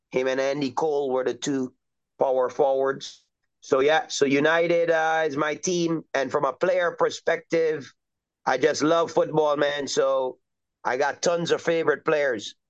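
A middle-aged man from Trinidad and Tobago, a soccer coach talking as a guest in a relaxed, rambling way with lots of fillers. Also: mid-range voice, clear, resonant tone. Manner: easygoing, rambling with many fillers. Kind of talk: casual interview chat. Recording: dull lo-fi recording.

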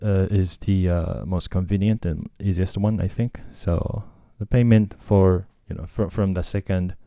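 A Japanese man talks alone in a relaxed, meandering way, stopping and restarting his sentences often. His voice sits very low and sounds soft and mellow. The recording is close and dry, with no room sound. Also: measured pace, no room sound. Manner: rambling, hesitant, many fillers and restarts. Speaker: podcast host and language tutor. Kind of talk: casual solo talk.

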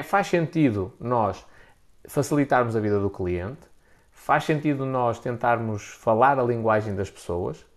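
A man with a European Portuguese accent giving informal, opinionated advice in a blunt, slangy, emphatic way, often repeating himself for emphasis. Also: mid-range voice. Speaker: content creator giving business advice. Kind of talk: informal opinionated talk to an audience.

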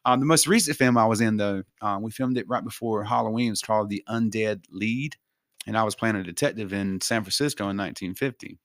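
Male guest, casual chat, easygoing, bright tone, dry close sound. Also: fairly low pitch, brisk, no echo. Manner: easygoing, many fillers.

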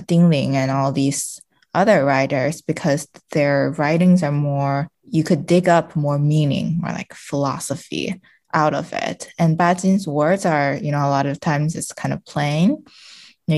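A woman's voice: deep voice, vocal fry, a little mellow. A woman speaking as a podcast guest in a casual literary discussion, feeling hesitantly for her words.

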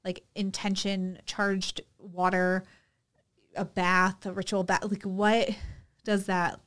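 A woman co-hosting a podcast in casual chat, talking in a relaxed, reflective way with frequent pauses and fillers. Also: mid-range voice. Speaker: podcast co-host.

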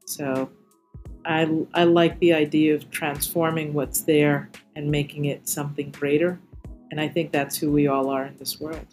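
A middle-aged American woman speaks as a guest in an interview. Her voice sits a little low, and she phrases her reflections deliberately and articulately. Faint music plays behind her. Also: little pitch movement, darkish tone. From New York City, United States. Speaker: interview guest, corporate diversity executive. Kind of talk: reflective personal talk in an interview.

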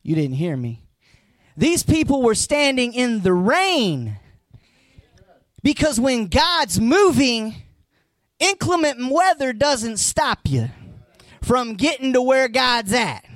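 A hoarse pastor, preaching a live sermon with folksy asides and emphatic, rising lines. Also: male voice, extremely high pitch, a bit bright, no echo, studio quality.

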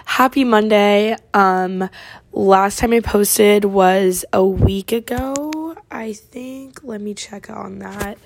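A college-age woman from Oregon hosting her podcast, chatting casually with a raspy voice and lots of fillers. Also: medium pitch, slow pace. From Oregon, USA. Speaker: podcast host. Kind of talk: casual chat.

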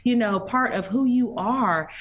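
A woman's voice: a little high, measured pace, narrow, tinny audio, crowd noise. Earnest, encouraging woman executive guest. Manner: earnest, encouraging, with frequent fillers. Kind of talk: conversational interview.

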